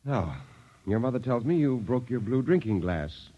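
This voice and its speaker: A man's voice: medium pitch, expressive, normal speed, lightly acted. An actor playing a stern, exasperated husband and father in 1950s radio drama style, given to lecturing. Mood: stern questioning. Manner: lecturing, hesitant starts with "well" and "now". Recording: dull vintage 1950s radio recording.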